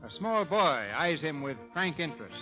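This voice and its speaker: A man's voice: a little high, big pitch swings, normal speed. A man narrating a 1930s radio program as its announcer in a formal, theatrical scripted style. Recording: muffled vintage 1930s radio broadcast. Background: music.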